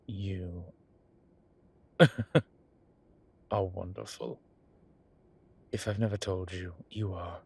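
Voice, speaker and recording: A man voicing a flirtatious, smitten demon professor in an intimate audio roleplay, husky and breathy, with halting, wry phrasing. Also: low-pitched, exaggerated voice. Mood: tender, earnest. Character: teasing, smitten male incubus demon professor. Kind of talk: intimate one-sided audio roleplay acting.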